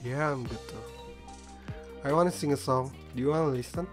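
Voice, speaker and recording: A man's voice: mid-range voice, a little fast. A man in his twenties with an Indonesian accent, chatting casually with strangers on video as a streamer. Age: 20s. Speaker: amateur singer and streamer. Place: small bedroom studio indoors. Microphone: large microphone on a stand close by. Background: guitar and background music.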